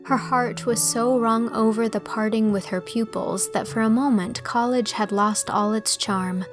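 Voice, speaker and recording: A woman's voice: fairly high pitch, fairly even intonation, measured pace, soft background music. A woman reading scripted storybook narration as an audiobook narrator.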